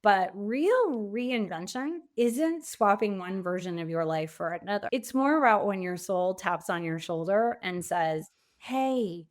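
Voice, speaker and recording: A woman guest on an interview podcast, speaking reflectively and articulately with emphatic pauses. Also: medium pitch. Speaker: podcast guest, pivot coach.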